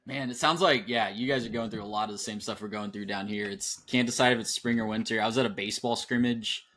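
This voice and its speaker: A man's voice: lowish voice, quick pace, a bit bright, a little hiss or hum. A man hosting a sports podcast, welcoming guests and asking questions in upbeat conversational patter. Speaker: podcast host.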